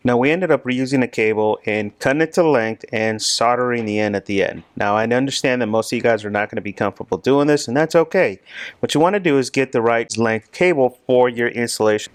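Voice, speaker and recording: A man's voice: medium pitch, brisk, clear, resonant tone, no echo. A man giving a direct, explanatory tutorial voice-over addressed to viewers. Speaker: host explaining an installation.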